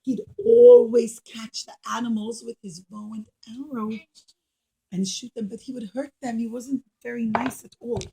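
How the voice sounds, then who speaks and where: A woman's voice: a little high, steady tone, normal speed, vocal fry, warm tone, poor audio. A woman telling a puppet-show story to children in a teacherly way, addressing them directly with dramatic emphasis.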